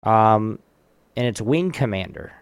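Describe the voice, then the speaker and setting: A man's voice: fairly low pitch, lively intonation, unhurried, clear, resonant tone, no room sound. A man casually hosting a trivia game on a live show, speaking informally and hesitantly as he chats and reads out facts. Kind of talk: casual chat, reading trivia aloud.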